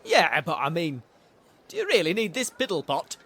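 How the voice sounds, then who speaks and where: A man's voice: on the high side, huge pitch swings, moderate pace. A man with a British accent playing a clumsy, cheeky, grumbling squire in a comic audio drama. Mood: flustered grumbling. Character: grumbling, clumsy lowly squire, former rubbish collector. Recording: very noisy track with clicks.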